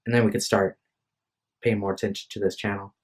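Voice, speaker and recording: A man's voice: on the low side, little pitch movement, a little fast, breathy edge. A tired young man talking casually into his phone at home, rambling and apologetic, often restarting his sentences. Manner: hesitant, apologetic, restarts a lot. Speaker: vlogger. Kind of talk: rambling casual vlog to camera. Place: room at home. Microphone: phone microphone.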